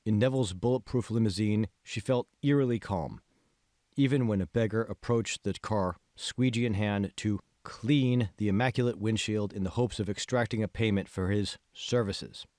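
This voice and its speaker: A man reading scripted fiction as an audiobook narrator, in a measured, phrase-by-phrase way. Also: fairly low pitch, a little mellow, no room sound, clean studio sound. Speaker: author reading his own audiobook.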